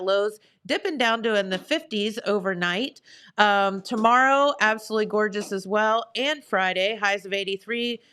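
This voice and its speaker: A woman's voice: fairly high pitch, fairly bright tone, smooth. A woman hosting a local morning TV show, bubbly and upbeat, chatting with guests and reading the weather. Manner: bubbly, upbeat, laughs easily. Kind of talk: live local TV chat and weather. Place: local TV studio.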